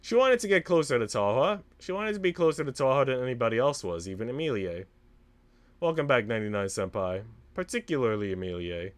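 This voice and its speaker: A man reading a visual novel aloud as expressive story narration for a livestream. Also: medium pitch, fairly quick.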